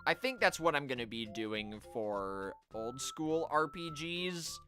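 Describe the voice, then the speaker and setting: Guy streaming a game and chatting to chat, super lively pitch, bright voice, music faintly behind. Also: slow pace. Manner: animated, voice-acts game dialogue. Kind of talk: live stream commentary, chatting with viewers.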